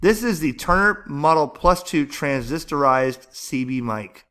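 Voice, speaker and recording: A man with a bright, forceful voice pitched a little high. He talks like an enthusiastic hobby vlogger, casual and chatty, rambling along as he thinks out loud. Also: expressive, measured pace, smooth. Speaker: amateur radio vlogger and host. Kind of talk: casual enthusiastic vlog commentary, browsing and narrating.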